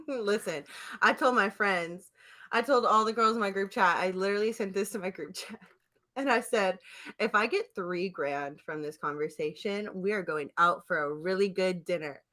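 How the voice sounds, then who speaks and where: A woman's voice: medium pitch, normal speed, pushed and bright. A young woman hosting a podcast, telling anecdotes in casual chat and laughing often. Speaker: podcast host.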